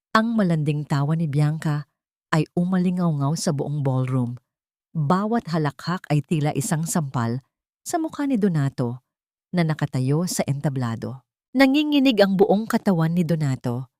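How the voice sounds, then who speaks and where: A woman reading a scripted dramatic story as an audiobook narrator, in a measured storytelling manner. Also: on the low side, darkish tone, no room sound, studio quality.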